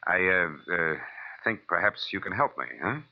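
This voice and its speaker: Very deep-voiced hardboiled detective. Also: male voice, lively intonation, moderate pace, slight background noise. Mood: casual, probing. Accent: American. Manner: hardboiled, dry, slangy. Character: wry, tough private detective narrating his case. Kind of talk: 1940s radio detective narration and acting. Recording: muffled vintage radio, loud music behind.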